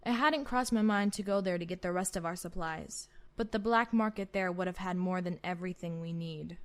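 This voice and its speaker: A woman's voice: on the low side, brisk, close to an everyday voice. A woman acting as a proud, commanding female admiral in a sci-fi roleplay, curt and sardonic. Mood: coolly confident. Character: proud, sharp-tongued female starship admiral, commanding and unafraid.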